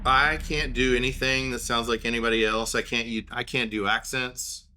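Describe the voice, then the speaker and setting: A man's voice: medium pitch, flat delivery, moderate pace, background noise. A man in his thirties with an Oklahoma accent, telling rambling, self-deprecating stories in a casual solo talk.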